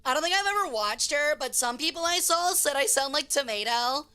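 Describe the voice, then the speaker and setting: A woman streamer chatting casually with her chat in a hoarse voice, reading messages aloud. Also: high-pitched, animated, brisk, bright, forceful tone. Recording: faint music behind.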